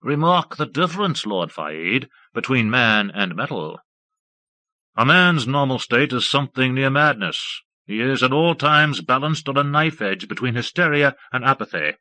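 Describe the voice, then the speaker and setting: Man playing cool wizard, measured lecturing tone, lowered voice, dry sound. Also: lively intonation, pushed and bright, no room sound. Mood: cold. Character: calm, cold male wizard, unshakable and dry.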